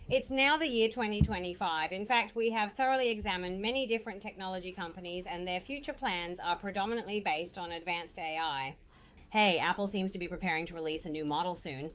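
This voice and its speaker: A woman investment analyst giving explanatory, emphatic market commentary on a talk show. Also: fairly low pitch.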